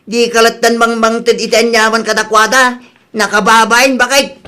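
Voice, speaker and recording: An actor with an Ilocano accent playing a fretful, scolding village husband in a radio comedy drama. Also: male voice, very high-pitched, level tone, moderate pace, pushed and bright, clear, resonant tone.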